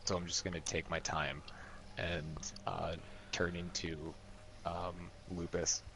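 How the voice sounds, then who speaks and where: A man's voice: low-pitched, little pitch movement, takes it slowly. A man chatting casually as a player in a tabletop role-playing game, hesitant and thinking aloud, with some noise on the recording.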